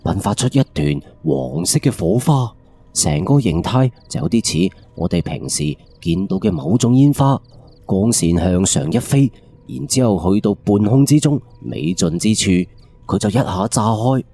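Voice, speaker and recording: A man with a Cantonese accent tells an eerie first-person tale in a dark, husky voice. His delivery is colloquial and suspenseful, posing questions to the listener, over faint background music. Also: lowish voice, animated, normal speed. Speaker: ghost story narrator. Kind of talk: dramatic first-person ghost storytelling.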